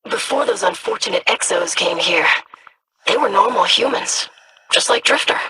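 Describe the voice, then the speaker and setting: An actress voicing a calm, scholarly woman who studies dark lore in a video game, speaking in measured, formal sentences. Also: mid-range voice, background noise, lightly acted. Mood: grave.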